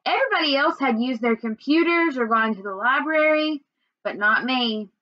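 A young adult woman reading a children's novel aloud in an expressive storytelling manner. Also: high voice, moderate pace, no room sound, highs cut off. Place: small indoor room at home.

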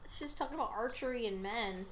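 A woman's voice: fairly high pitch, quick pace, crowd noise. A young woman co-hosting a gaming show, chatting casually with blunt, wry jokes. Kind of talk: casual joking let's-play commentary.

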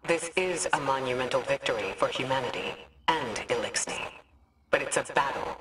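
A woman playing a composed, resolute warlock commander in a video game, speaking in a measured, firm and grave way. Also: rumbling low, lively intonation, noisy background. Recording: slightly distant, music behind.

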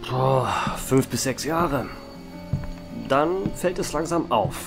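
A man playing a centuries-old vampire who runs a bar, telling his story in a calm, measured way. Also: mid-range voice, vocal fry. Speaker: narrator and bar owner. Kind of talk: fan audio drama narration. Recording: music bed under the voice.

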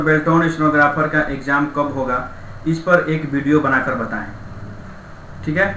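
A man's voice: fairly high pitch, pushed and bright. A young man giving an informal update to online viewers as the presenter. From India. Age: young adult. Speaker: YouTube exam-update presenter. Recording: roomy echo with faint background noise.

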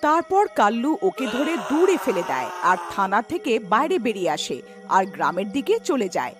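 A woman's voice: a little high, big pitch swings, normal speed, music in the background. A woman narrating a scripted cartoon story.

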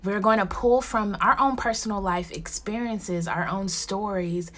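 A young woman from Ghana leads a poetry lesson in a voice of middling pitch. She talks straight to her students in a casual, expressive way. She records on a phone held at arm's length in a small room at home. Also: a little slow. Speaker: poetry workshop teacher. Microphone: phone camera at arm's length.

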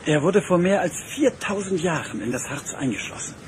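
An actor playing a patient archaeologist uncle in a German radio play, calm and explanatory. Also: male voice, high voice, brisk, noisy background. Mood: explaining. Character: adult male archaeologist, patient uncle, knowledgeable.

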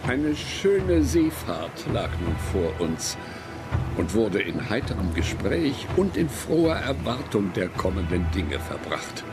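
A loyal imperial courtier in a radio play, formal and reverent. He speaks unhurriedly with a lively melody, music playing clearly behind him. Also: lowish voice, takes it slowly. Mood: content. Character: loyal courtier companion of the Kaiser recounting a voyage. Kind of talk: historical radio play, recited memoir.

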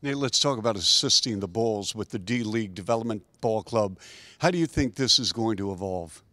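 Polished middle-aged sports broadcaster, dry sound. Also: male voice, fairly low pitch, lively intonation, moderate pace, bright, forceful tone, dry recording. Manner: upbeat, polished broadcaster delivery. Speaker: team TV interviewer. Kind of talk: sports interview hosting. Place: indoor media backdrop at a team facility. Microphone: handheld mic.

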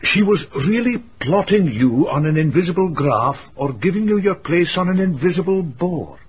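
Wordy, precise male, rough breathy tone, slightly high, radio drama. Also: animated, normal speed. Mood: musing. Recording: muffled radio recording.

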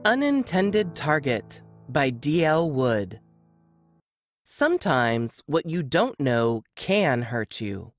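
A woman's voice: sits low, big pitch swings, slow, no echo, faint music behind. A woman reading scripted narration in a dramatic thriller-trailer style. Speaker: book blurb narrator.

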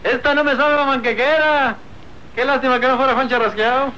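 A comic, loud-mouthed young Mexican ranch lad, with an extremely high, piercing voice. He whines and protests excitedly in an old radio serial with dull, slightly noisy sound. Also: a little fast. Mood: whiny, alarmed. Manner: whining, repetitive protests. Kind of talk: old radio soap opera acting.

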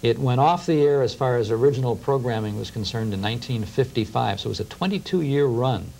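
A man's voice: medium pitch, brisk, creaky, darkish tone, slight background noise, low-quality recording. A middle-aged American man talking in conversation as an interview guest, explaining things with enthusiasm. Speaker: guest, radio historian. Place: small TV studio. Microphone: lapel mic.

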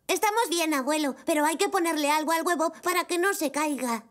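A woman's voice: sits high, fairly quick, lightly acted. A woman voicing a curious, imaginative five-year-old zebra boy in a children's cartoon, eager and wide-eyed. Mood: cheerful. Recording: music behind.

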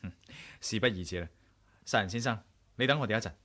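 An actor with a Hong Kong Cantonese accent playing a confident, inquisitive adventurer hero in a 1980s radio drama. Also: male voice, fairly low pitch, quick pace, bright, forceful tone. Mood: confident, decisive.

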